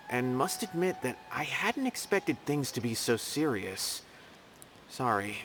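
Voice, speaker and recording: An actor voicing a polite, gentle male scholar in a video game, courteous and explanatory. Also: mid-range voice, close to an everyday voice. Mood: friendly concern. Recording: game music behind.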